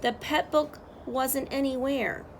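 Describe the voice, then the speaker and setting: A woman with a fairly high voice reads a children's story aloud. Her phrasing is expressive and measured, as a storyteller's. There is some noise in the recording. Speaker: storyteller reading a picture book aloud.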